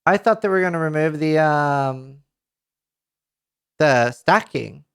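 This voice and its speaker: A man in his thirties with a Norwegian accent reads patch notes aloud to a live chat, reacting with excited outbursts and casual swearing, into a headset mic. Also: fairly high pitch, slow pace, smooth. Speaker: streamer. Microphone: headset mic at a desk.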